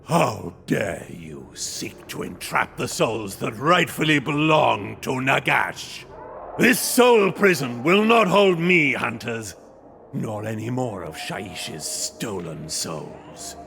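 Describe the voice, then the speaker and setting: An actor playing an arrogant undead ghost knight in a fantasy game, with a gravelly voice and grandiose, menacing proclamations. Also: male voice, mid-range voice, sing-song, slow, fairly bright tone, soft background music, heavily acted. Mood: indignant fury. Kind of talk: villain acting in a fantasy game cutscene.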